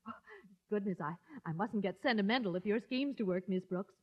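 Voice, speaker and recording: An actress playing a fussy but firm middle-aged wife with an American accent, in 1950s radio sitcom style. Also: female voice, mid-range voice, a little fast, natural voice. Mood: fluttery. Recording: muffled vintage radio broadcast.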